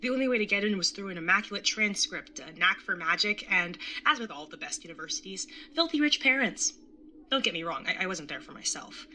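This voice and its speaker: A woman's voice: mid-range voice, animated, a little fast, bright, forceful tone, a little hiss or hum. A woman playing a wry, jaded young artist bartender in an audio drama, sardonic and casually sweary as narrator and older sibling. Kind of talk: first-person audio drama narration and dialogue.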